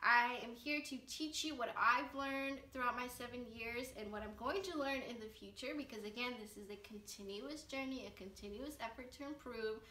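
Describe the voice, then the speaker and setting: A woman's voice: on the high side, normal speed, few pauses, bright, forceful tone, echoey room, distant mic, poor audio. A woman in her late twenties talking to camera in a casual, upbeat and earnest vlog from her living room couch. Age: 20s. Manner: upbeat, earnest, gestures with hands while talking. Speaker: vlogger.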